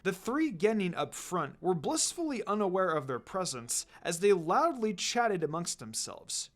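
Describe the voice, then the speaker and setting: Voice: medium pitch, very animated, measured pace. A narrator reading fan fiction aloud as scripted storytelling.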